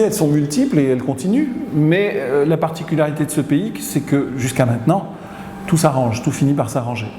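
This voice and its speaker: A man's voice: on the high side, dark tone. Hesitant middle-aged man in an echoey hall. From Tunisia. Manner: hesitant, pausing often mid-sentence. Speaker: company CEO. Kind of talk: interview answers. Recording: echoey hall with noise behind.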